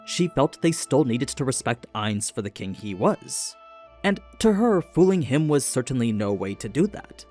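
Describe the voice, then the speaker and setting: A man's voice: mid-range voice, a little mellow. A man reading a scripted story recap in an explanatory storytelling manner, stressing the turns in the tale. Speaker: narrator of anime lore recaps. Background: background music.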